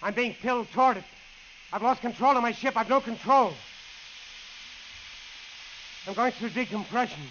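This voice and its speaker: An actor playing a lone test pilot, awed and straining, in a halting, dramatic old-time radio monologue. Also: male voice, very high voice, sharp tone, a little hiss or hum. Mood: alarmed. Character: male test pilot alone in a rocket plane, awed, straining. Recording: dull, lo-fi vintage radio recording.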